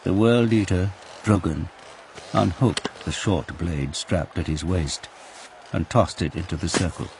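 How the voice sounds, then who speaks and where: A man narrating a dark war story as a grave storyteller in an audio drama. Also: low voice, a little slow, gravelly, background noise. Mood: grave, dramatic.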